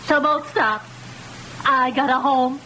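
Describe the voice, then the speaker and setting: A woman's voice: sits high, slow pace, bright, forceful tone, slight background noise, full character voice, rough recording. An actress playing a wounded, meddling older mother with melodramatic, inverted phrasing in an old-time radio drama.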